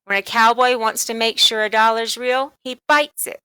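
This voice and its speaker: A woman voicing an excited, insistent little schoolgirl in a storybook, eager to show off. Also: fairly high pitch, normal speed, smooth, dry recording, pitched above the performer's own voice, poor audio.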